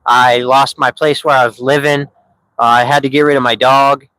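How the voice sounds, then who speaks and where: A man's voice: medium pitch, little pitch movement, moderate pace, sharp tone, no echo, low-quality recording. A man in his thirties telling his own story on a live stream, candid and rambling, with many fillers and restarts. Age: 30s.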